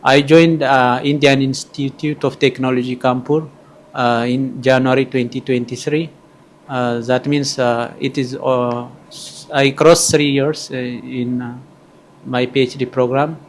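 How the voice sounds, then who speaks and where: Flat-voiced man. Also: mid-range voice, a little hiss or hum. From Ethiopia. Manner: halting, many fillers. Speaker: PhD student. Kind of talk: self-introduction.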